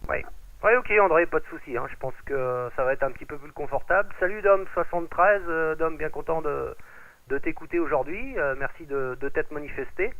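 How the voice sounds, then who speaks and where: A man chatting casually as an amateur radio operator, with hesitant, drawn-out phrasing, over a narrow radio transmission. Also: a little high, animated, fairly bright tone, no echo. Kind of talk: casual radio contact chat, handing over the mic.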